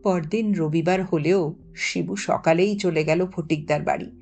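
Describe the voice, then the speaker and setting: A woman's voice: fairly low pitch, steady tone, a little slow, gravelly, darkish tone, husky. A woman narrating a written story in a dramatic storytelling style. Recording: music under the voice. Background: background music.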